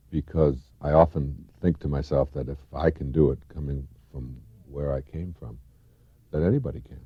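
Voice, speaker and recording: Deep-voiced man, dry muffled tape. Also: slightly rough, no echo. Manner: earnest, swelling and falling in emphasis. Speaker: motivational speaker. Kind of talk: reflective self-help lecture. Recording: dull lo-fi tape, close and dry.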